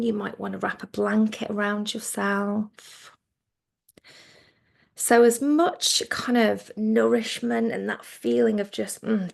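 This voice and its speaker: A woman teaching guided relaxation, speaking in a soothing, gentle way with phrases that trail off softly. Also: medium pitch, vocal fry. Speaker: yoga nidra relaxation teacher.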